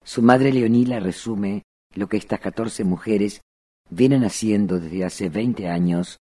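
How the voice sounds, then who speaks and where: A man narrating a documentary in a measured, solemn scripted delivery. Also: lowish voice, mellow, no echo.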